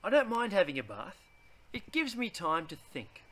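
A man, reading a children's picture book aloud in a deliberate, theatrical way. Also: sits high, huge pitch swings, fairly bright tone. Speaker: read-aloud host.

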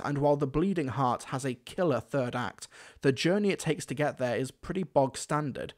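A young man with a British accent delivers conversational review commentary. His voice sits at a middle pitch and is recorded on a close desk microphone in a room at home. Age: young adult. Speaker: video reviewer. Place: small furnished room at home.

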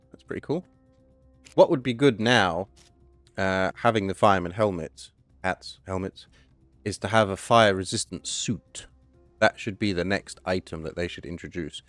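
A fairly low-voiced man, a little hoarse, chatting his way through a survival game and correcting himself as he goes. Faint music sits under his voice. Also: dry recording. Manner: chatty, thinking aloud, self-correcting. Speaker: gaming channel host. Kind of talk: casual gameplay commentary.

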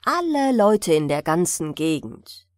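A woman reading fairy tales as an expressive bedtime audiobook narrator. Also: on the low side, a little slow, no echo, studio quality.

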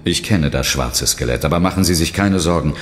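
An actor playing a calm, reassuring chief inspector in a horror audio drama, composed and matter-of-fact. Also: male voice, rumbling low, a little fast, big-room sound, music in the background.

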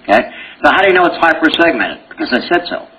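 A man's voice: on the high side, sing-song, quick pace, sharp tone, dull audio, a little hiss or hum. Joking male medical lecturer. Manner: joking, quizzes audience with rhetorical questions. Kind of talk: exam review lecture.

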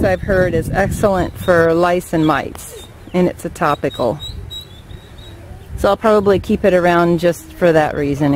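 A woman's voice: deep voice, darkish tone, rough recording. Woman, backyard chicken keeper, matter-of-fact, casual vlog, outdoor camera audio, faint noise. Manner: plain, matter-of-fact explaining with ums.

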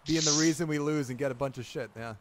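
A young man chatting casually with friends while playing a video game, excitable, swearing and laughing often. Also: a little high, fast talker. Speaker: gaming channel co-host. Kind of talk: casual gameplay banter with friends.

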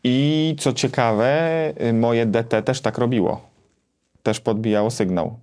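A man's voice: medium pitch, unhurried, a little mellow. A man in his thirties co-hosting a gear show, casually explaining and demonstrating in a small studio. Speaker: co-host of a guitar gear review show. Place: small indoor home studio.

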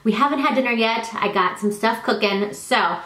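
A woman's voice: a little high, normal speed, echoey room, noisy background. A woman in her thirties, stuffy from allergies, chatting casually to camera about products she sells. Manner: chatty, self-deprecating, warm toward her child. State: congested from allergies. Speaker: product vlogger and consultant. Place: living room, indoors.

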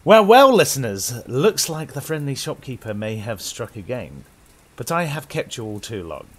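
A man's voice: mid-range voice, big pitch swings, moderate pace. A young British man hosting and narrating a horror tale with dramatic, suspenseful, old-radio theatrical delivery. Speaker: horror story host and narrator.

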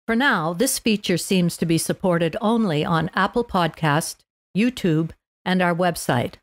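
A woman with a fairly low voice reads prepared text aloud as a podcast host for language learners. The sound is dry, with very little room in it. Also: measured pace, no room sound. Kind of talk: scripted read-aloud narration for language learners.

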